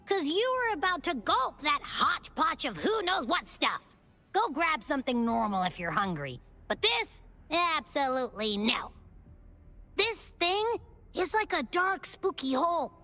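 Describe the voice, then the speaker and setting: A woman's voice: sits high, very animated, measured pace, sharp tone, exaggerated voice. A woman voicing a feisty, fussy little creature companion in a game, with a scolding, dramatic manner. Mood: indignant, fussy. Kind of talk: animated game character acting.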